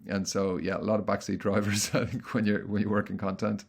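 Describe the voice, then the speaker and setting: Middle-aged male guest, rapid delivery, somewhat low voice, loose restarts. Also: level tone, vocal fry, husky. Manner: quick, chatty, frequent restarts. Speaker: guest, content marketing consultant. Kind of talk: casual interview answers.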